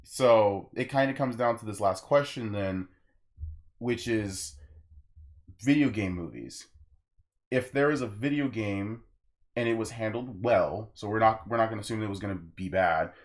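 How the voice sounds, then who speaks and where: A man's voice: lowish voice, normal speed. A young man hosting a gaming podcast, talking casually and giving his opinions in rambling stories with acted-out asides. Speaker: podcast host.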